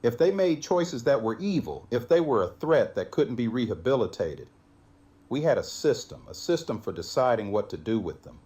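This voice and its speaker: A man acting as an earnest, conscientious survivor in an audio drama, speaking in a halting, reflective way. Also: medium pitch, big pitch swings, dark tone, lightly acted. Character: Morgan, earnest, conscientious male survivor seeking mercy.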